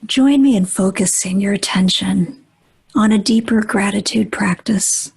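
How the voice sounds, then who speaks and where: Female meditation guide: very husky and breathy, gentle, unhurried, with pauses between short instructions. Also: medium pitch. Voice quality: breathy, husky, often near whisper.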